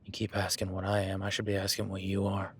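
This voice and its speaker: A man voicing a rugged, protective cowboy rancher in a listener-addressed audio roleplay, talking in a low-key, calm, instructive way that often drops to a hush. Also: quick pace, a little hiss or hum. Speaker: ranch hand tracking a beast.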